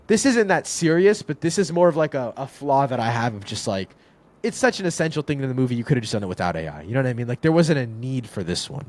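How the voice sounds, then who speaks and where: A man's voice: medium pitch, lively intonation, fast talker. A young man in casual podcast talk about films, rambling with lots of filler words and restarts. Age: young adult. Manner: rambling, full of "like" and "you know what I mean". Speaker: podcast co-host. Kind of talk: casual film discussion.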